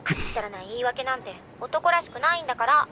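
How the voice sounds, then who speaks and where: High, sharp bossy anime girl. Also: animated, rapid-fire. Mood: irritated scolding. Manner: bossy, nagging, sharp-tongued. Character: impatient scolding teenage anime girl from visual novel. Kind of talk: Japanese visual novel voice acting.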